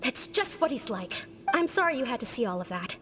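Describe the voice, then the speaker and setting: High-voiced, prim, sharp-tongued lady. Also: big pitch swings, fairly quick. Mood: composed. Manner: formal, lecturing. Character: prim, sharp-tongued female infirmary patient, easily irked. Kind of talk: video game dialogue. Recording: long reverb, faint background noise.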